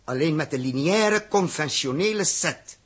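An actor playing a stern, rational spaceship commander in a 1960s radio drama, speaking deliberately and with authority. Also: male voice, a little high, animated, breathy edge. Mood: stern, insistent.

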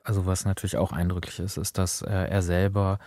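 A man in a reflective radio discussion, speaking thoughtfully and haltingly as he searches for words. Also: deep voice, flat delivery, mellow, dry recording. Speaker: guest commentator on a radio drama programme.

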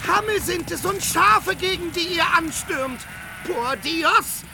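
A man's voice: very high voice, slow, gravelly, exaggerated voice. A man in a German radio play, playing an earthy, anxious, down-to-earth squire who speaks plainly and exclaims. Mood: panicked.

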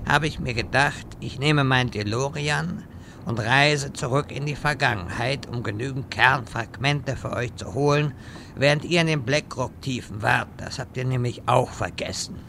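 A man's voice: mid-range voice, normal speed, vocal fry, sharp tone, slight background noise. A man playing an irritable, sardonic wizard who lectures his companions in a German audio drama parody. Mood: matter-of-fact. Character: exasperated, sarcastic male wizard guiding bumbling adventurers.

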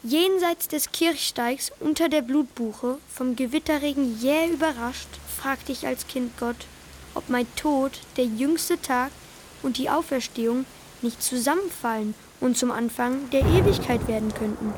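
An actress playing a wondering narrator of a rural childhood, speaking poetic radio-play lines in a measured, lyrical way. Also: female voice, high voice, a little hiss or hum. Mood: reflective.

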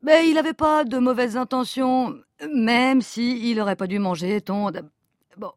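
An actress playing an awkward, apologetic cult leader, halting and trailing off on a call in a comic audio fiction. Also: female voice, a little high, dry recording, studio quality, close to an everyday voice. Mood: embarrassed, hesitant. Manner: halting, fumbling, trails off mid-sentence.

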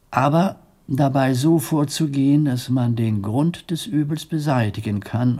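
Gravelly, guarded medieval abbot. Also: male voice, fairly low pitch, dark tone, full character voice. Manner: formal, deliberate, guarded, weighty pauses. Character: grave, diplomatic male abbot of a medieval monastery. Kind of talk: radio drama dialogue.

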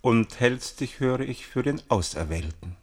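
A man's voice: mid-range voice, animated, measured pace, a little mellow, natural voice. A man playing a scheming, imperious duke with a sardonic manner in a German radio play. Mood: imperious, contemptuous. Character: scheming, haughty duke and general, cold conspirator.

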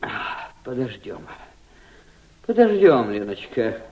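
An actor in a Soviet radio drama playing a courteous, high-strung émigré gentleman, speaking theatrically and emphatically in halting phrases. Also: sing-song. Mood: agitated, indignant. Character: agitated, courteous anti-Bolshevik émigré gentleman. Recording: dull old radio play recording.

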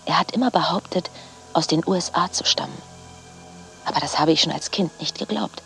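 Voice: mid-range voice, fairly quick, creaky, bright, forceful tone, faint music behind. An actor playing a grown stepchild who looks back reflectively in a radio drama interview.

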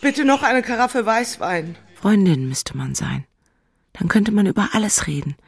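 An emotional young woman in a radio drama, with music underneath. She is impulsive and volatile, speaking at a medium pitch and slipping into confiding murmurs. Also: animated, unhurried, creaky, breathy edge, full character voice. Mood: bitter, tipsy. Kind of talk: German radio play acting.

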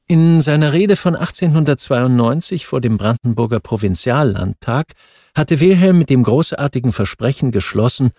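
A man reads non-fiction history as a scripted audiobook, measured and articulate. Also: medium pitch, darkish tone, dry recording, studio quality. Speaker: audiobook narrator.

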